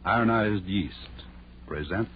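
A man announcing a 1930s radio show in a portentous, drawn-out way. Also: lowish voice, a little hiss or hum. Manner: slow, portentous. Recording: tinny 1930s radio, loud music behind.

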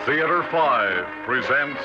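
A man announces an old radio drama with slow delivery and a lively, swinging pitch. Loud music plays behind him on a muffled 1960s recording.

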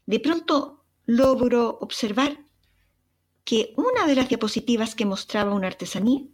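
A young Chilean woman with a Chilean accent and a breathy voice, dramatically telling a personal travel story as a podcast narrator. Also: fairly high pitch, moderate pace. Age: young adult. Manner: expressive, dramatic retelling with sighs and exclamations.

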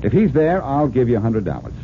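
An actor playing a cocky, wisecracking amateur detective in an old-time radio drama, teasing and brashly confident. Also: male voice, medium pitch, huge pitch swings, fast talker. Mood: cocky needling. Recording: muffled narrow-band vintage radio recording, hiss.

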